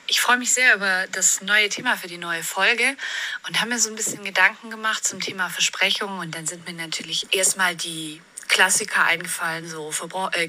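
A woman's voice: mid-range voice, sharp tone, low-quality recording. A woman leaving a spontaneous voice message, reflecting and thinking aloud. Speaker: listener or contributor sending a voice message.

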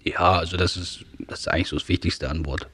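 A man's voice: sits low, fairly even intonation, fast, a bit bright, slightly rough. A man from Saxony in his forties, guest on a casual podcast, telling stories in an easygoing way. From Saxony, Germany. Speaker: company owner, guest.

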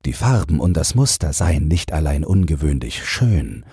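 Male audiobook narrator for bedtime fairy tales: very low, gravelly, unhurried, with expressive intonation. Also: no echo, studio quality. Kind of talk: scripted fairy-tale narration, bedtime storytelling.

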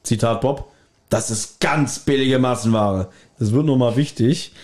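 A man's voice: mid-range voice, a little slow, a little mellow. A man hosting a podcast, giving a casual recap partly read from his notes, with playful irony. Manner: playfully ironic. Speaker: podcast host.

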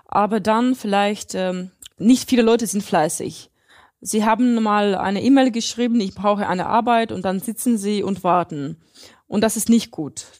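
A woman's voice: medium pitch, a little mellow. Earnest woman. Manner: hesitant, many fillers, earnest. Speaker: interviewee, interpreter. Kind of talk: casual interview answers.

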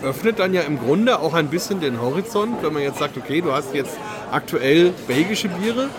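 A man hosting a conversational podcast interview, chatty and quick, often repeating words as he starts a thought. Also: on the high side, expressive, a crowd in the background. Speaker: podcast host.

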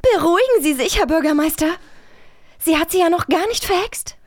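A woman's voice: extremely high pitch, animated, fairly quick, voice pushed up. A woman playing a mayor's anxious, deferential secretary in a children's radio play, soothing and hesitant.

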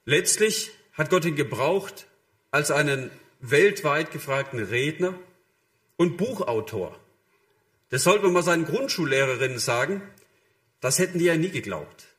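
A man's voice: fairly high pitch, animated, measured pace, fairly bright tone. A man preaching a sermon in a conversational, illustrative way, addressing listeners directly and voicing short imagined quotes.

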